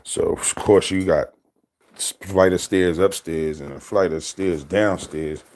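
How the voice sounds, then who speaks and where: A man in his thirties with a deep voice, telling loose, rambling stories to his camera as a casual vlog and laughing often. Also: fairly even intonation, measured pace, a little mellow. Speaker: vlogger.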